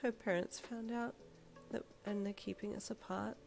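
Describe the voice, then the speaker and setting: A woman voicing a sad young woman who answers quietly and glumly. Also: mid-range voice, fairly even intonation, moderate pace, creaky, faint music behind, pitched above the performer's own voice, natural voice. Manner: glum, soft-spoken.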